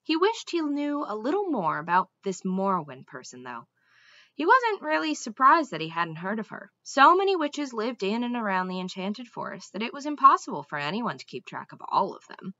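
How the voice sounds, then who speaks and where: A woman's voice: medium pitch, very animated, moderate pace, bright, forceful tone, dry recording, dull audio. A young adult woman narrating a children's storybook expressively, like a teacher reading aloud. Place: small room indoors at home.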